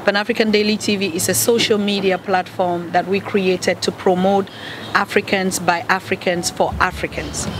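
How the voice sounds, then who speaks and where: A woman's voice: on the low side, background noise. A middle-aged woman with a Cameroonian accent, giving a speech as a guest at a press conference. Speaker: guest speaker, media founder.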